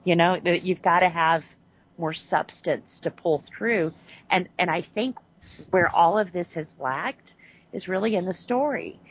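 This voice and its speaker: Podcast co-host: woman, low-pitched voice, animated casual talk, dull lo-fi audio. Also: measured pace, husky. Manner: animated, lots of restarts and "you know". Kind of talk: casual chat reviewing a TV series.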